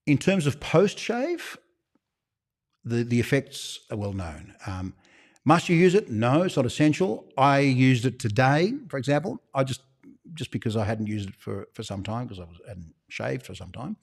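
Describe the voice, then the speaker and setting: A man's voice: medium pitch, expressive, normal speed, gravelly. A man with an Australian accent hosting a live-stream Q&A on shaving, chatty and rambling, often repeating and restarting words as he demonstrates products on camera. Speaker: shaving shop host. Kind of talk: live-stream Q&A answering viewer questions.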